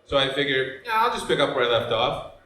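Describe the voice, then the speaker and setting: A man in his forties from Michigan, with a Michigan accent, doing a deadpan stand-up set in a brewery with loose asides to the crowd. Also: medium pitch, fast, slight background noise. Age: 40s. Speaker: stand-up comedian. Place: brewery stage before a live audience. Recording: roomy live venue sound.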